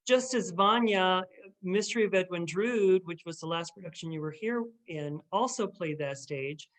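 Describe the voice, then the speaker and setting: A man hosting a casual interview, speaking effusively in halting phrases. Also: high-pitched. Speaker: interview host.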